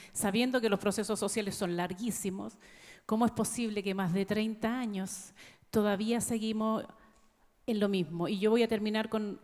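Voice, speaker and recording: A woman teacher takes the floor from the audience with earnest, emphatic speech that builds long rhetorical questions. Her voice is of middle pitch for a woman, and a long hall reverb follows every phrase. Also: a little mellow. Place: large conference hall.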